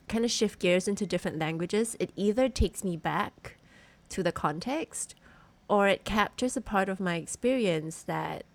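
A woman co-hosting a podcast in casual chat, reflective and curious, laughing easily as she talks. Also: on the low side. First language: English. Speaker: podcast co-host.

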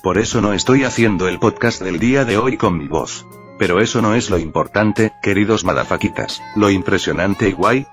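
Brief: very deep-voiced Mexican man, profane comic podcast host, faint music under. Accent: Mexican Spanish. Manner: crude, profane humor. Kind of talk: scripted podcast monologue.

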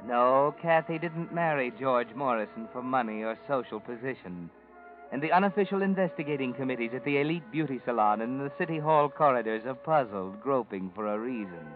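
A man's voice: mid-range voice, a little fast, lightly acted. An actor playing a sinister, all-knowing male narrator in a 1940s radio drama, speaking in an insinuating, knowing tone over music, on a tinny old recording.

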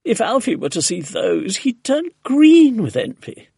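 A man voicing a sweet, gentle, excitable woman from a storybook who dotes on her pet, in a gushing, pleading manner. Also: sits high, normal speed, vocal fry, slightly rough, dry recording, studio quality, pitched above the performer's own voice, full character voice. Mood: excited, emphatic. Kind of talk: storybook character voice.

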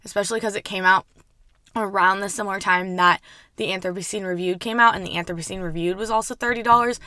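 A young woman hosting a casual book review podcast, her voice hoarse and gravelly from overuse, rambling chattily with casual swearing. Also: medium pitch, steady tone, fast talker, pushed and bright, no echo. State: hoarse, worn-out voice. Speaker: podcast host.

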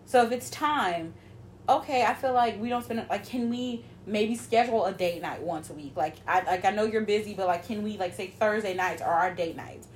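A woman's voice: medium pitch, moderate pace, a little hiss or hum. A woman around thirty in casual chat, talking loosely with lots of filler words. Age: 30s.

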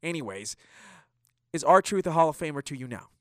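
A man co-hosting a podcast about wrestling, his voice a little on the high side. He talks in an animated, teasing way and gets loud when he argues. The sound is close and dry, with no room in it. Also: moderate pace, no room sound. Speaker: podcast co-host. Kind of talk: casual wrestling chat. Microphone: studio microphone.

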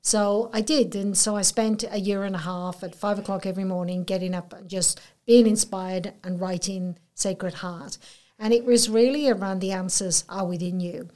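A woman's voice: mid-range voice, fairly even intonation, moderate pace, warm tone. Welsh woman, earnest and motivational. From Wales. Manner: earnest, motivational, speaks in full stories. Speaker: council chief executive, guest. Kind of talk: casual interview.

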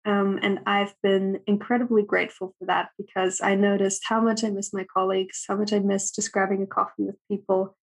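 Even-toned woman from Germany. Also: mid-range voice, brisk, hardly any pauses, low-quality recording. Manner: soft-spoken with many ums. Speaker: guest. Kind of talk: casual interview chat.

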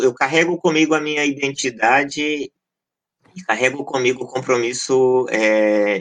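Earnest, passionate Brazilian man in mid-pitch. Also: fairly bright tone, low-quality recording. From Brazil, born in Maranhão and raised in Goiás. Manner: earnest, passionate, with emphatic lists and frequent restarts. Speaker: guest, pastor and social scientist. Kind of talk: earnest interview answers.

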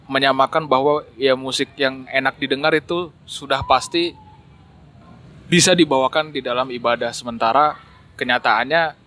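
A man chatting casually, agreeable and quick to put in short interjections. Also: mid-range voice, steady tone, sharp tone. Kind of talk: casual chat. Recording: noticeable background noise.

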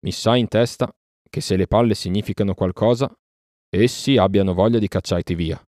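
A man plays a wise old lone wolf from a storybook. He speaks low and calmly, with measured composure and dry irony. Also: dry recording, lightly acted. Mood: dryly calm.

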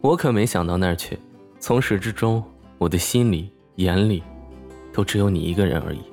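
Confident smooth young guy in love, audio drama, lowish voice, quiet guitar behind him. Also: a little fast. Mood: tender, earnest. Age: young adult. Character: confident male colleague, devoted smooth suitor. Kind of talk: romantic audio drama dialogue. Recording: plucked guitar music behind the voice.

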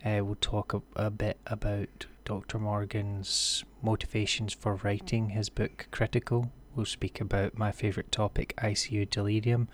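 Hesitant deep-voiced podcast host. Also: male voice, level tone, moderate pace, hardly any pauses, slight background noise. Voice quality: unusually deep voice from a call glitch. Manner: hesitant, many fillers and restarts. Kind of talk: podcast intro and interview questions. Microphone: video call.

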